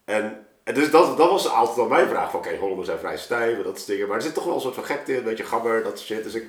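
Male podcast guest, lower register, casual and discursive, thinks aloud, recorded in a roomy space. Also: animated, fast talker. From Suriname. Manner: rambling, thinking aloud, frequent 'zeg maar' asides. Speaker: podcast guest, artist and storyteller. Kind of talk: casual podcast talk, explaining his creative process. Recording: roomy, echoing room sound.

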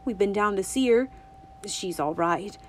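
A woman voicing an eager young country boy who talks fast in a hushed, urgent, rustic drawl in an audiobook. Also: mid-range voice, expressive, a little hiss or hum, close to an everyday voice. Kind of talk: audiobook reading.

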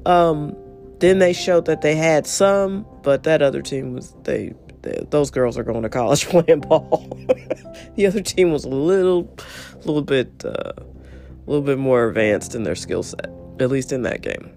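A woman hosting her show alone, husky and a little nasal from congestion. She talks bluntly and with energy, and she swears casually. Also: sits low, expressive, moderate pace, darkish tone, soft background music. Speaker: show host. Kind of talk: opinionated solo monologue.

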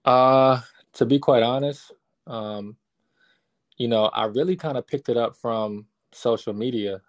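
A man in his thirties, a real estate investor, answering interview questions in a relaxed, casual way with many fillers. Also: mid-range voice, unhurried, no echo. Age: 30s.

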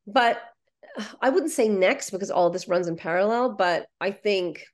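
A woman's voice: medium pitch, animated, moderate pace. A young adult woman whose first language is Ukrainian, speaking earnestly as an interview guest and often restarting her sentences. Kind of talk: conversational interview.

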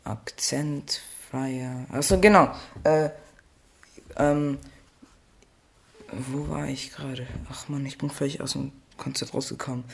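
A man's voice: medium pitch, fairly even intonation, slow, vocal fry, long pauses, husky. A teenage boy hosting a solo podcast, rambling playfully, correcting himself and losing his train of thought. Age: teens. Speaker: podcast host.

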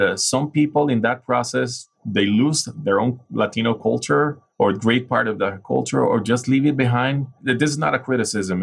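Gravelly-voiced man, reflective chat. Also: mid-range voice. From Venezuela. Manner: reflective, frequent restarts and "you know". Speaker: podcast guest. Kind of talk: casual interview conversation.

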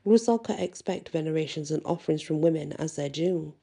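A woman with a husky, slightly gravelly voice, reading researched notes as an informal narrator and adding casual asides. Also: sits low, a little fast, warm tone. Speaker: host of a series on spirits and folklore.

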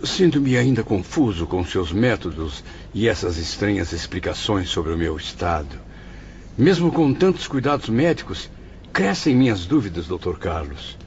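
A man's voice: low-pitched, big pitch swings, a little mellow, a little hiss or hum. An actor playing a confused, anguished male spirit in a radio drama, solemn and dramatic, with a Brazilian accent. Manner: dramatic, solemn, halting when distressed. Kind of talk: radio drama acting with first-person narration. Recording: dull lo-fi radio drama, music behind.

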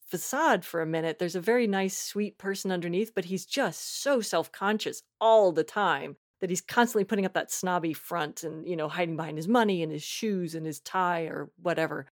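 A woman guest chatting casually in an interview, effusive and halting, with a giggly manner. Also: lowish voice, no echo, clean studio sound. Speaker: podcast guest, audio fiction writer.

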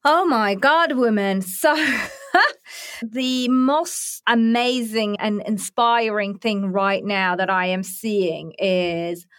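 An enthusiastic woman hosts a podcast interview, affirming her guest warmly. Her voice sits at a middle pitch and sounds dry and close, with no room around it. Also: slow pace, no room sound. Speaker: podcast host.

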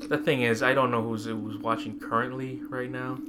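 A man's voice: mid-range voice, flat delivery, moderate pace. A man co-hosting a podcast in casual, informal chat, leaning on conversational filler phrases. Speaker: podcast co-host. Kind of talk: casual chat about tech and pop culture. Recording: clear background ambient noise.

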